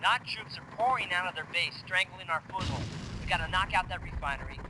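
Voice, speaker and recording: An actor playing a desperate field officer, urgently and tersely reporting from battle in a game cutscene. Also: male voice, high voice, expressive, a little fast. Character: desperate, embattled male field officer calling for backup. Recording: battlefield noise, artillery and vehicles. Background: artillery fire and engines.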